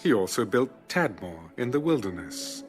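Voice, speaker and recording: A man narrating scripture from a script in a measured, formal way. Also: mid-range voice, big pitch swings, music in the background. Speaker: narrator of an audio Bible.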